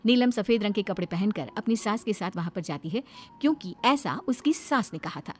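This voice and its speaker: A woman's voice: medium pitch, animated, a little fast. An Indian woman narrating a scripted bedtime story as a storyteller. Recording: background music under the voice.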